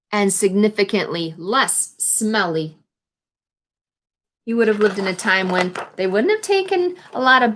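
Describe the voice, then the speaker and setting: A woman teacher reading a children's picture book aloud, with expressive, deliberate reading and simply worded explanatory asides. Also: mid-range voice.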